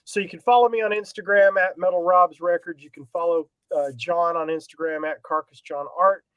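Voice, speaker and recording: A man's voice: high-pitched, steady tone, a little fast, a bit bright, clean tone, no room sound, highs cut off. A man hosting a casual talk show while he has a cold, with a laid-back, loose delivery. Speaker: podcast host. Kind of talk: casual chat.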